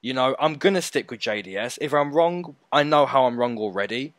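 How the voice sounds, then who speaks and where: A man co-hosting a fight prediction show, giving emphatic, animated opinions in casual chat. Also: medium pitch, brisk, no room sound, studio quality. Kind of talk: casual betting breakdown chat.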